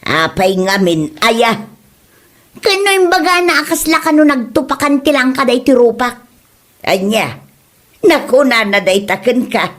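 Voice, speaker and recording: Casting: indignant village woman, played by a woman, high voice, very animated, Ilocano accent, whiny comedy-drama complainer. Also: unhurried, pauses often. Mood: hurt, complaining. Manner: whining, exclamatory complaining. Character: touchy, indignant village woman Wilma, insulted over her face. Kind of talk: comedy audio drama acting.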